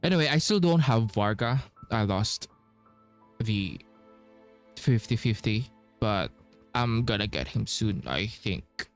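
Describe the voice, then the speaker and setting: A man's voice: on the low side, animated, takes it slowly. A young man giving casual, laid-back playthrough commentary as a gaming streamer, with muttered asides. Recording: game music in background. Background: game music.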